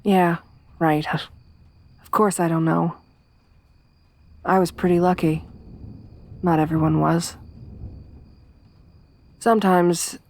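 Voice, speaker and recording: A young woman voicing a tough, tomboyish survivor companion in an ASMR roleplay, speaking softly with a wry, weary warmth. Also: sits low, full character voice. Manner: soft-spoken, wry, quietly reflective.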